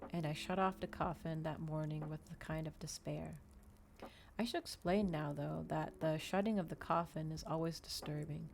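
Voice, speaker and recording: A woman streamer reading a story aloud in a soft, gentle, unhurried ASMR style, close to the microphone. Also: low voice, creaky, a little hiss or hum.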